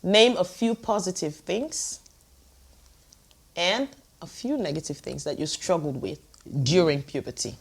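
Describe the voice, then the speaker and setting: A woman's voice: fairly low pitch, a little slow, vocal fry, long pauses, husky. Laughing woman hosting a casual chat. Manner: laughs often, prompting questions. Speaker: podcast host. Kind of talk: casual family discussion.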